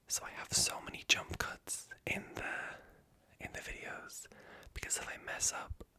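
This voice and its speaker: A woman with a light Irish accent whispers a breathy, self-conscious, stream-of-consciousness ASMR ramble. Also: bright, forceful tone. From Ireland. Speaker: ASMR video creator.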